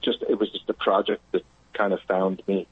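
A man calling in to a radio show by phone, talking in a thoughtful, halting, conversational way. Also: deep voice, flat delivery, slightly rough, no room sound. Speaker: phone-in caller. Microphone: telephone. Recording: muffled, narrow phone line.

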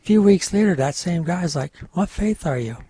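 Older husky-voiced man, French his first language. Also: high voice, expressive, normal speed, warm tone, no room sound. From North Country of New York. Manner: rambling, with many fillers and restarts. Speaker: guest. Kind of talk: casual interview storytelling.

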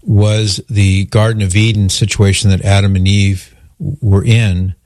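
A man hosting a religious call-in radio show, explaining things in a measured, careful, conversational way. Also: on the low side, flat delivery, a little mellow, no echo, studio quality.

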